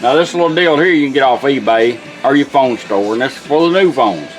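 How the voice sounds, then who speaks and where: A man's voice: medium pitch, lively intonation, a little fast, poor audio. A middle-aged man giving a casual, hands-on product demonstration in a folksy, plain-spoken, instructional way. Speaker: vintage car radio technician demonstrating a product. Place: indoor workshop bench. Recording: music and radio playing behind. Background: music from the demonstrated radio.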